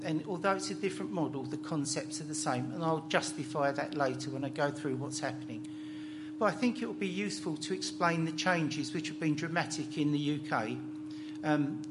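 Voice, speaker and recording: A man in his sixties speaks with an East London and South Essex accent, his voice a little higher than most men's. He gives a chatty conference talk full of dry, self-mocking asides. A loud steady hum runs under him. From Essex, England. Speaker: conference speaker on laboratory pathology. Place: conference hall.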